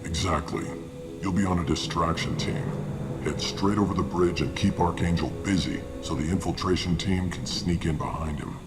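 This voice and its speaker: An actor playing a gruff, weary, matter-of-fact male mercenary briefing hired guns in a video game. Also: sits low, steady tone, normal speed, over loud music, natural voice. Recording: clearly noisy game ambience behind.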